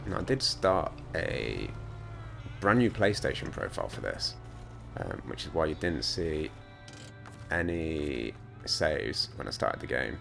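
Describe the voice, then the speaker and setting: A British man talks through his gameplay in a casual, unscripted way. His voice sits deep and crackles with fry, croaky as if from hay fever. He is wry and self-deprecating, with game music clearly playing behind him. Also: very animated, unhurried, fairly bright tone. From United Kingdom. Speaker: gaming let's player. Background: video game music and truck engine.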